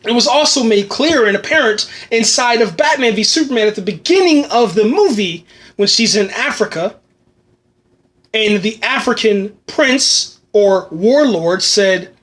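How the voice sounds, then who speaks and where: A high-voiced man is hosting a casual solo review podcast. He rambles with enthusiasm, piles on fillers and repeats himself, and his voice is going slightly hoarse. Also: a bit bright. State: losing his voice. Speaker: podcast host reviewing a film.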